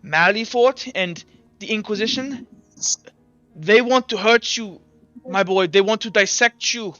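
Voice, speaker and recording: A man voicing a grave, kindly doctor in a tabletop game, speaking with halting, earnest urgency. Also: sits high, bright, forceful tone, no echo, muffled recording, faint music behind. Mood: urgent, grave. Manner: halting, earnest, repeats himself.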